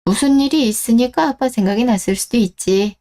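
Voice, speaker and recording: A woman's voice: a little high, brisk, dark tone, lightly acted. A woman playing a caring, perceptive older father in a scripted radio drama. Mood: teasing, caring.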